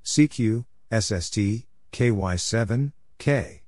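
Slow, low-voiced man reading call signs. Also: darkish tone, no echo, studio quality. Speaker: Morse code practice announcer. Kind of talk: reading out call signs and short phrases, letter by letter.

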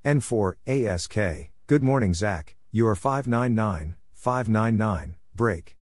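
Low-pitched man reading slowly and deliberately. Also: animated, darkish tone. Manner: measured, spelling out call signs letter by letter. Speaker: Morse code practice narrator. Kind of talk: scripted read-out of radio exchanges.

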